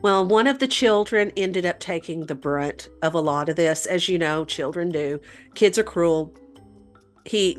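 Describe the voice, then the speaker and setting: A woman co-hosting a talk show, telling a story in a conversational way. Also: lowish voice. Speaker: podcast host telling a paranormal story.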